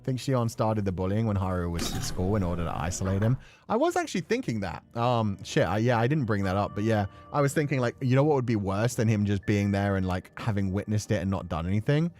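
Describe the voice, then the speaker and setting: A man's voice: fairly low pitch, animated, fast, hardly any pauses, darkish tone, soft background music. A man giving casual, playful live commentary on a visual novel as a streamer, chatty and mildly profane. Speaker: game streamer.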